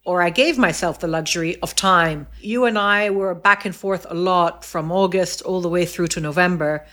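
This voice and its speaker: Middle-aged woman guest, thoughtful and measured, frequent pauses and self-corrections. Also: lowish voice, bright, forceful tone. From Egypt, raised in the US, Saudi Arabia and England. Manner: thoughtful, many fillers and restarts. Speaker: podcast guest. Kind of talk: reflective interview conversation.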